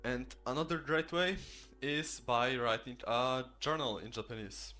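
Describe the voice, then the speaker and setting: A man's voice: mid-range voice, a little slow, bright, forceful tone, soft background music. Earnest male language tutor, Polish native. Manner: earnest, instructive. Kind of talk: tutorial video.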